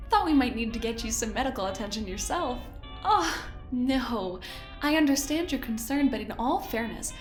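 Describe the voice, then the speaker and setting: A smug woman running corporate research in a sci-fi audio drama, played by an actress. Her high voice is sweetly polite with menace underneath. The sound is roomy, with faint music behind. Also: close to an everyday voice. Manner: falsely sweet, condescending, theatrically polite.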